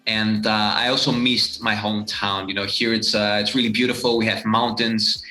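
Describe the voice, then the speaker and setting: A man from Greece in his thirties, a fitness coach answering interview questions in a relaxed, reflective way with many fillers. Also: fairly low pitch, moderate pace, roomy sound, soft background music, poor audio. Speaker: fitness coach and channel host.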